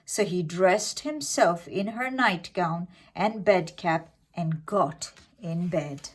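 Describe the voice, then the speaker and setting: A woman reading a scripted fairytale for children in an expressive, dramatic, deliberate way. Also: medium pitch. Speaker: children's storyteller.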